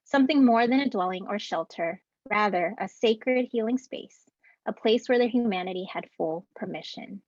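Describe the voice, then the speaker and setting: A woman in her thirties, an educator, giving a prepared ceremony speech from home over a video call in an earnest, deliberate way, reading out rhythmic lists. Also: mid-range voice, no echo. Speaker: keynote speaker and educator. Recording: dull, dry video-call audio.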